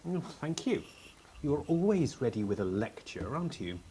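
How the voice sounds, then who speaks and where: A man's voice: mid-range voice, big pitch swings, moderate pace, dark tone, slight background noise, close to an everyday voice. A man acting a young uncrowned king in a radio play, whining, sarcastic and stubborn. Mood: sulky. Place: actor's home, recorded remotely.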